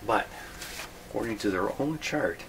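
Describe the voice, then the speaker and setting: A man with a fairly low, creaky voice talks himself through a repair at the bench. He is halting and puzzled, muttering and poking fun at himself. The amateur recording has clear background noise. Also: animated. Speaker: host of a radio repair video. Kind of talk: unscripted workbench troubleshooting, thinking aloud. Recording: clearly noisy hobby video recording.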